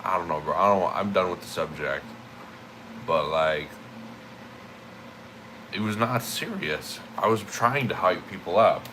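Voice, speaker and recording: A man's voice: deep voice, a little slow, pauses often, sharp tone, slight background noise, poor audio. A man livestreaming in casual chat, sniffly and stuffy-nosed, rambling and repeating himself in a laid-back way.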